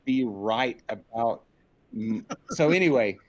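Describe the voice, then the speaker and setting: A man's voice: medium pitch, sing-song, slow, gravelly. A middle-aged man giving a reflective, casual interview, candid and self-deprecating about himself. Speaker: interview guest, film director. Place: indoors, on a group video call.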